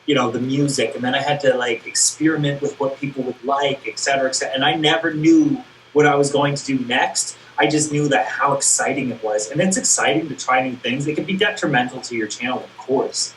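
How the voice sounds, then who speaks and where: A man's voice: mid-range voice, fairly quick, hardly any pauses, a bit bright, far from the mic. A man hosts a casual interview, rambling his way through questions and throwing in self-deprecating asides. His voice carries a roomy echo. Faint noise sits underneath. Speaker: interview show host.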